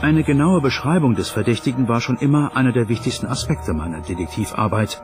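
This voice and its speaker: A man's voice: medium pitch, animated, a little fast, over music, natural voice. A man voicing a male detective who investigates a cold case, in a dubbed documentary interview. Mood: matter-of-fact. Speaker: voice-over for a detective.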